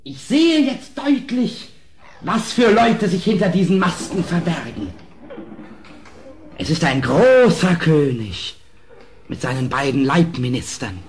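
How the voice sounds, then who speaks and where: A gloating little court jester out for revenge in a gothic radio play. His voice is high and swings widely, declaiming slowly and theatrically with bitter mockery. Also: sing-song, darkish tone. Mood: bitter, menacing. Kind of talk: dramatic German radio play acting.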